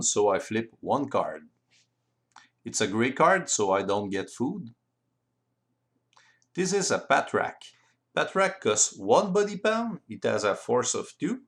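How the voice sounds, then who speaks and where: A man explaining board game rules step by step in simple, deliberate phrasing. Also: on the low side, fairly bright tone. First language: French. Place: voice-over recorded separately from the video.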